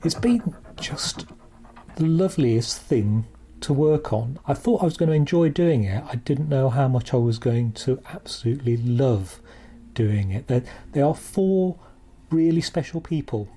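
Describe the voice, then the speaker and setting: Soft, husky British man, effusive. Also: medium pitch, lively intonation, measured pace. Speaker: producer. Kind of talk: interview talk.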